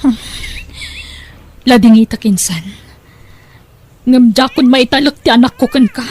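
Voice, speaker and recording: A woman's voice: a little high, a little slow, darkish tone, slightly rough. An actress playing an anxious, protective mother in an audio drama, emotional and urgent, with an Ilocano Filipino accent. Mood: firm, suspicious.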